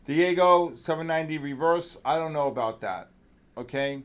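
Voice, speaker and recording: A middle-aged man with a New York accent runs a live market stream. He talks in loose, rambling, jokey bursts and calls out viewers by name. Now and then he stretches out a name like a ballpark announcer. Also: on the high side. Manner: drawn-out announcer-style calls. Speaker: trading livestream host. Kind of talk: casual live market chat.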